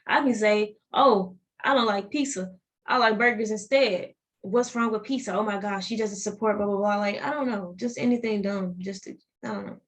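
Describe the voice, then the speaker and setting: A woman's voice: mid-range voice, moderate pace. A young woman with a Southern US accent, a podcast guest talking animatedly in casual chat and often restarting her phrases.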